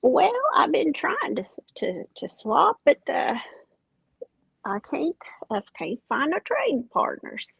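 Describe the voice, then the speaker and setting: A woman playing a gossipy old country woman who talks in a halting, folksy way. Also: high voice, big pitch swings, gravelly, no room sound, highs cut off.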